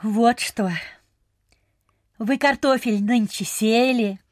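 A woman's voice: fairly high pitch, takes it slowly, no room sound, studio quality. A woman playing a genteel, insistent provincial lady in a radio play, speaking in a mannered way. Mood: wheedling. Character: genteel, wheedling, sensible lady house guest in provincial Russia. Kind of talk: Soviet-style radio theatre acting.

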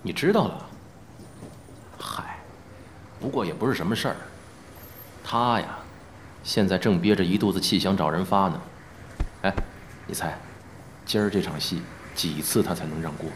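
A man's voice: low voice, normal speed, long pauses, a little hiss or hum, natural voice. A man playing a teasing, cocky, easygoing film actor in an audio drama, with a playful drawl. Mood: gossipy, amused. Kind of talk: Chinese audio drama acting.

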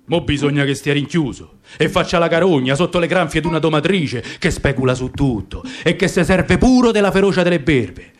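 A man's voice: a little high, fairly quick, few pauses, voice pushed down, lightly acted. A man voicing a gloomy, proud caged circus lion in radio theatre, grumbling resentfully with a Roman accent. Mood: bitter, fed up. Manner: brooding, grumbling complaint.

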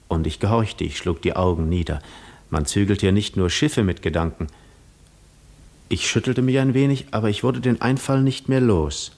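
An actor playing a seasoned war hero who recalls his adventures with reflective irony and dramatic pauses in a 1950s radio drama. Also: fairly low pitch, darkish tone. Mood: wary, brooding.

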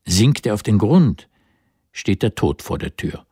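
An actor as the storyteller of a historical radio drama, giving composed, literary scripted narration. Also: male voice, lowish voice, very animated, measured pace, mellow, husky, dry recording, studio quality, exaggerated voice. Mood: narrative.

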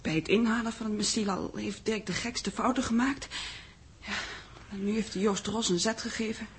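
A woman's voice: mid-range voice, moderate pace, close to an everyday voice. A woman playing a thoughtful, uneasy young secretary with hesitant, musing delivery, in a slightly noisy vintage radio drama. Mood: concerned. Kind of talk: 1960s radio drama acting. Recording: vintage radio recording with faint noise.

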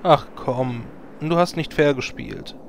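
An actor playing a sardonic, grumbling adventurer with a dry delivery in a parody fantasy audio play. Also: male voice, fairly high pitch, slow pace, a little hiss or hum. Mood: dry sarcasm. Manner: dry, sarcastic.

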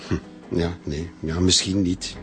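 An actor playing an evasive, flustered Flemish boss in a radio crime drama, answering questions haltingly. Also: male voice, low-pitched, little pitch movement, music in the background. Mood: hesitant. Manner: hesitant, halting.

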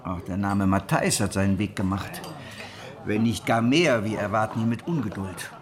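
An actor playing a grave, shrewd rebel preacher of the sixteenth century in a radio drama, speaking in a measured, earnest way. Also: male voice, fairly low pitch, a crowd in the background, close to an everyday voice. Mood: grave, resolute. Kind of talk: historical radio drama acting.

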